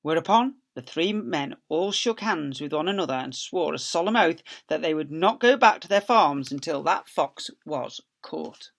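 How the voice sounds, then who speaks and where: A man's voice: a little high, animated, moderate pace, creaky, few pauses, a bit bright. A man, an infant school teacher, reading a story expressively to young children.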